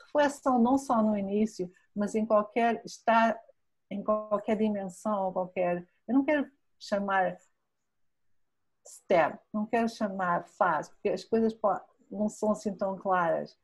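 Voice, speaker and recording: A woman's voice: mid-range voice, normal speed, rough recording. A woman professor wrapping up an online lecture over a video call, often stopping to correct herself, with informal asides. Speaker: professor presenting.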